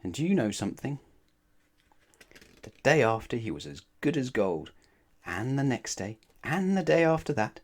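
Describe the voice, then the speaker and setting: A man narrates a children's story with dramatic emphasis. His mid-pitched voice is highly animated, rising and falling expressively through the read-aloud. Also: normal speed, long pauses. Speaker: storybook reader.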